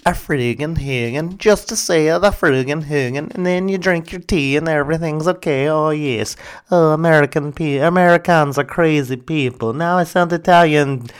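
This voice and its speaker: A man's voice: on the high side, moderate pace, clean tone, pitched above the performer's own voice, lightly acted. A man putting on an exaggerated mock Norwegian accent, playing a sing-song, tipsy-sounding fellow with a wobbling lilt. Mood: goofy.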